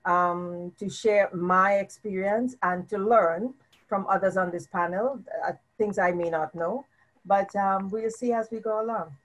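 A woman's voice: medium pitch, fairly even intonation, measured pace, low-quality recording. An older woman from Trinidad, speaking as a panelist in an online discussion. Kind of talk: panel discussion over video call.

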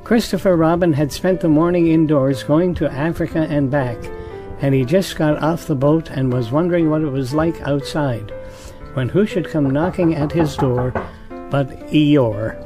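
A man's voice: on the high side, moderate pace, over music, lightly acted. A man narrating a storybook audio drama as its scripted narrator.

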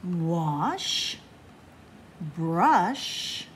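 Very slow, animated woman reading to children. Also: fairly low pitch, a little hiss or hum. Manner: playful, sing-song, addressing child listeners directly. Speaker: children's librarian reading aloud. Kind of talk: picture-book story time for young children. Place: public library room among bookshelves.

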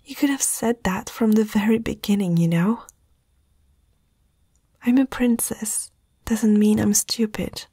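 Casting: young fantasy princess played by a woman, proud but uneasy, very breathy whisper, unhurried, intimate ASMR delivery. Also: mid-range voice, mellow, no echo, clean studio sound. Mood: gently reproachful. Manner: hesitant, slow, intimate. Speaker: ASMR roleplay performer.

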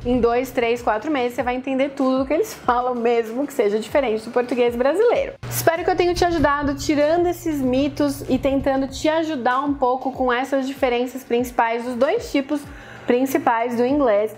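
A woman in her thirties from Brazil, an English teacher giving a casual video lesson to camera with a lapel mic in a small room, talking lively and gesturing a lot. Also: high-pitched, a little fast, soft background music. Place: small indoor room with posters on shelves.

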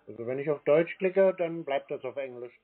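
A man in a phone conversation, answering in a terse, stubborn, deadpan way. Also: medium pitch, a little fast. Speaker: scam-baiting call recipient. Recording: narrow-band phone-like sound.

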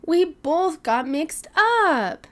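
Helpful boy stegosaurus, acted by a woman, storybook sidekick, slow emphatic corrections, varied pitch. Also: very animated, heavily acted. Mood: correcting. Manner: stresses key words in correction.

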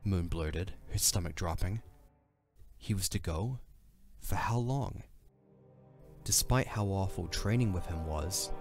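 A man's voice: sits low, slow pace, long pauses, faint music behind. A man narrating a scripted fantasy audio drama as a dramatic storyteller.

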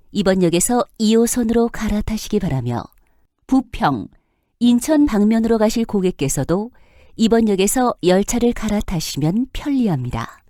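A woman delivers formal, scripted transit announcements in a fairly high, mellow voice. Her phrasing is measured and clearly melodic, moving up and down through each notice. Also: no room sound, studio quality. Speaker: subway announcer.

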